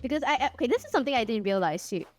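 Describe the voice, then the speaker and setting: A woman's voice: fairly high pitch, very animated, racing, faint music behind. A young woman from Singapore with a mixed, partly Singaporean accent, rambling casually as a streamer while she plays, often laughing and pleading playfully. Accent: mixed, partly Singaporean English. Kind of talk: casual livestream chat while gaming.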